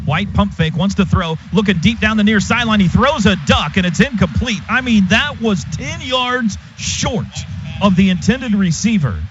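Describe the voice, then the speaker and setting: A man's voice: sits high, expressive, normal speed, fairly bright tone. An American man doing live football play-by-play on the radio, following the action in clipped, vivid phrases. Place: stadium radio booth. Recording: dull, noisy live radio broadcast.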